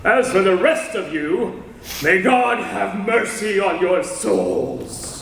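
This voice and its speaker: A man's voice: sits high, slow pace, creaky, full character voice. A man acting a grave, passionate young alien scientist foretelling doom in solemn, declamatory old-time radio drama style. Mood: bitter, ominous. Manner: solemn, declamatory, melodramatic delivery. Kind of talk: 1940s radio serial acting recreated on stage. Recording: echoey hall recording with background noise.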